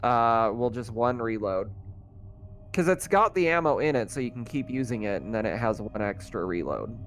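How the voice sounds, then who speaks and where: A man's voice: mid-range voice, expressive, normal speed. Casual male game master over music. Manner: casual, conversational. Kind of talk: improvised narration of a tabletop roleplaying game.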